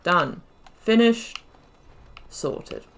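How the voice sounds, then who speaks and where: A woman's voice: fairly low pitch, sing-song, drawn-out words, gravelly, fairly bright tone, a little hiss or hum. A woman giving casual, self-deprecating commentary as she plays a video game.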